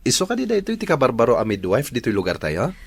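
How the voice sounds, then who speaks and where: A man's voice: medium pitch, wildly swooping pitch, racing, creaky, a little hiss or hum. An actor playing an easygoing young man, a devoted son, in a Filipino radio drama, with a Filipino accent. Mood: friendly.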